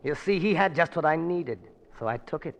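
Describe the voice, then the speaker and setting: A man's voice: fairly high pitch, lively intonation, fairly quick, lightly acted. An actor in a 1940s radio drama playing a vengeful, sadistic madman villain, mocking and mock-polite in a theatrical way. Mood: gloating. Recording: noisy old 1940s radio recording.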